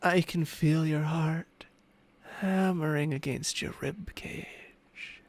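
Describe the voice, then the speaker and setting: Smug, slow-talking dragon familiar played by a man. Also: a little high, fairly even intonation. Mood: smug, teasing. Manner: slow, teasing, condescending, formal archaic phrasing. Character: smug ancient dragon spirit in male human form, possessive, teasing. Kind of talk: ASMR roleplay with intimate close whispers. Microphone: close microphone, spatial ASMR recording.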